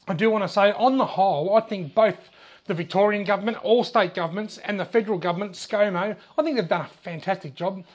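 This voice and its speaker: An Australian man in his forties, a fishing channel host, talking casually to camera in a small shed with a lapel mic, chatty and restarting his sentences often. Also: high-pitched. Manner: chatty, gestures with his hands, frequent restarts. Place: small indoor shed room.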